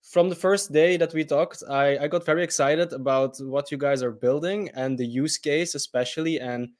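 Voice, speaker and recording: A man's voice: fairly high pitch, fairly even intonation, normal speed. Eager man hosting an interview. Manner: eager, with fillers and restarts. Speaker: interview host. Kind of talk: interview questions.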